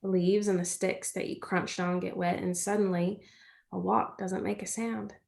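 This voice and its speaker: Gentle American farm girl, played by a woman, folksy and comforting, for a stage drama reading. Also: mid-range voice, little pitch movement, moderate pace, vocal fry, close to an everyday voice. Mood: soothing. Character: gentle, chatty rural girl, playful and comforting.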